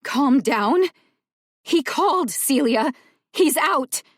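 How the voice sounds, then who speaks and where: A woman voicing a furious, overwhelmed young woman who shouts and curses as she nears breaking. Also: a little high, unhurried, dry recording, studio quality, pitched above the performer's own voice. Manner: shouting, cursing, voice cracking.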